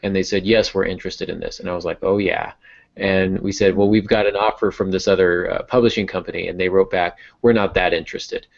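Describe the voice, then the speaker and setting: Male podcast co-host, low register, conversational storyteller who quotes dialogue. Dull, lo-fi recording. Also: fairly even intonation, fairly quick, vocal fry. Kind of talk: casual chat, storytelling. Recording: dull lo-fi audio.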